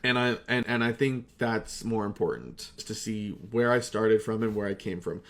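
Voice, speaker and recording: A young man with a fairly low voice talks casually to his viewers. He rambles off on tangents, swears a lot and pokes fun at himself. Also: animated, moderate pace. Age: young adult. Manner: self-deprecating, sweary, tangential. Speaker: amateur voice actor and video creator. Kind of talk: casual rambling retrospective chat.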